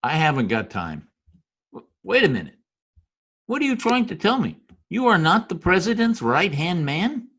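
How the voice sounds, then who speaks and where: Man acting excitable, high-pitched island official. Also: moderate pace, slightly rough, heavily acted. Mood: suspicious, stern. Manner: barking orders, emphatic. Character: excitable, commanding male official of a corrupt island regime. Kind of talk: old-time radio comedy-drama acting.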